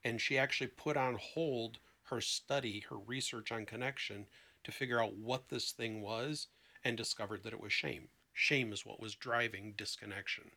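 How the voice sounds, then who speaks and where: A man with a bright, forward voice at an average pitch, talking as a therapist being interviewed. He explains ideas conversationally and illustrates them with examples. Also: steady tone, normal speed. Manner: explanatory, illustrating with anecdotes and quoted dialogue.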